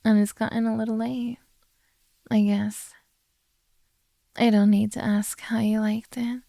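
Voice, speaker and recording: A woman playing a possessive, teasing, motherly beast-girl captor in an intimate ASMR roleplay, speaking softly in a close-up murmur that slips into whispers. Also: a little high, flat delivery, creaky, no room sound. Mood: fond, possessive. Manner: slow, soft teasing murmur slipping into whispers. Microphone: close ASMR microphone.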